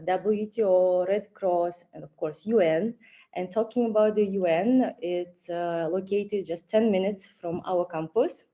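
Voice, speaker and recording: Warm woman from Kazakhstan, dull audio. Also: mid-range voice. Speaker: campus representative. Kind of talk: casual presentation on a video call.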